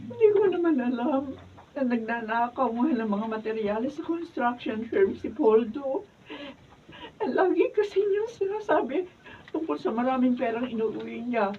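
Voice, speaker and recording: A woman's voice: fairly high pitch, animated, normal speed, natural voice. A woman acting a desperate, troubled wife and mother in a Filipino radio drama. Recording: distant, phone-like, noisy studio audio.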